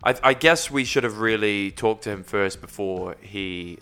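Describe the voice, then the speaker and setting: A man's voice: on the low side, unhurried, sharp tone, clear, resonant tone. A man co-hosting a comedy podcast, joking in a playful, loose, apologetic way. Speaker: podcast co-host. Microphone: studio microphone.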